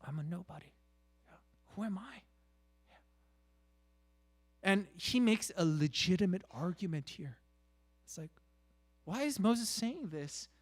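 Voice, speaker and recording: Slow-paced male preacher, higher-pitched voice. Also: expressive, breathy edge. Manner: halting, with repeated "you know" and rhetorical questions. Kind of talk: conversational sermon.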